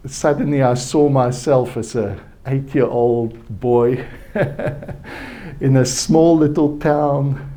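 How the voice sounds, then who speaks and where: A man from South Africa, native Afrikaans speaker with a South African accent, telling a personal story at a conference in a halting, reflective way. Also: medium pitch, steady tone, mellow. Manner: slow, halting, reflective with frequent restarts. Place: conference hall.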